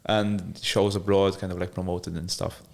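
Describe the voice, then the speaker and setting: A man's voice: low voice, level tone, moderate pace, vocal fry, darkish tone. A man who is a podcast guest, telling stories from his life in a laid-back, rambling way with frequent restarts and easy laughter. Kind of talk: casual interview storytelling.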